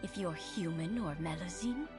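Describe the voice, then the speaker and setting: A woman's voice: on the low side, lightly acted. A woman voicing a kindly, tender, grateful old lady in a video game. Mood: grateful, reassuring. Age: older. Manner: tender, unhurried. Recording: music clearly behind the voice.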